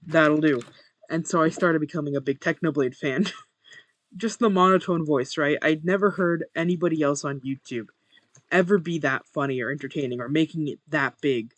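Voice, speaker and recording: A young man with a monotone voice, chatting casually while playing a game and muttering to himself over his first recording. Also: fairly high pitch, normal speed, no room sound. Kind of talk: casual gameplay commentary.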